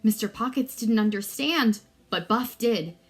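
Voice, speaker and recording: Lively, high-voiced woman reading a children's story. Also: noisy background. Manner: deliberate, dramatic emphasis for young listeners. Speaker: read-aloud storyteller.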